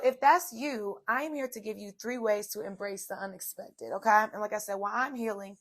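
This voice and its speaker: A woman hosting a solo inspirational talk, her voice slightly hoarse, chatty and direct, addressing viewers as "y'all.". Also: medium pitch. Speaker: podcast host. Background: soft music playing.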